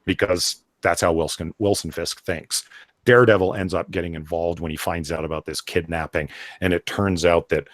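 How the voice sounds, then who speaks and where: A man's voice: low-pitched, steady tone, normal speed, dry recording. A man chatting casually as a comics show panelist, opinionated and sweary as he reviews a book.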